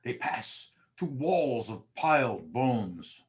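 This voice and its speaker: A man's voice: mid-range voice, big pitch swings, takes it slowly. A man narrating a period tale as a scripted dramatic storyteller.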